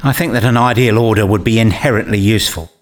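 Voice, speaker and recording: A man's voice: on the low side, fast talker, close to an everyday voice. A man acting in a scripted radio play as an eager, opinionated character who explains and argues his ideas. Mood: earnest, argumentative.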